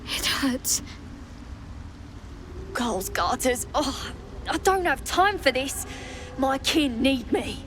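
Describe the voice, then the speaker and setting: An actress playing an injured, earnest dwarf artificer in a fantasy game, blunt and weary in manner. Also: female voice, high voice, very animated, drawn-out words, long pauses, noisy background, heavily acted. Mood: weary, hurried.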